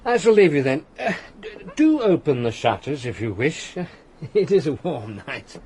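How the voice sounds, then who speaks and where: A man's voice: on the high side, very animated, normal speed, mellow, a little hiss or hum. An actor playing a genial, hospitable middle-aged Russian count and fond father in a radio drama, with a fussy, chuckling affability. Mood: genial, chatty.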